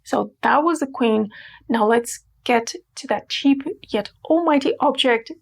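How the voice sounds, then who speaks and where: A woman from Portugal talks through a scripted language lesson. Her voice sits a little high, with a gravelly, fry-tinged edge. She teaches in a playful, emphatic way and slows down to spell out words.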